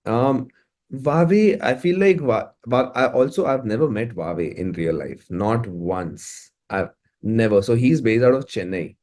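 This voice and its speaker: A man's voice: medium pitch, animated, unhurried, a little mellow. A man with an Indian accent, chatting casually and effusively as a music producer being interviewed. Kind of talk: casual, conversational interview talk.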